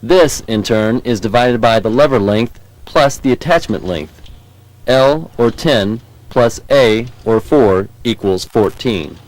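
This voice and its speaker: An American man reading scripted training film narration in a measured, formal, instructional manner. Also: mid-range voice, poor audio. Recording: 1990s VHS training film soundtrack.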